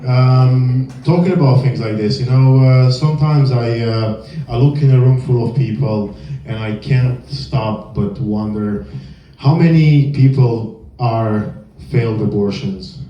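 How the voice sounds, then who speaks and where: A young man from northern Romania with a Romanian accent, performing a halting, filler-heavy deadpan stand-up set in a small comedy club. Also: mid-range voice, distant mic. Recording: echoey room, heard from afar, dull sound. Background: audience laughter.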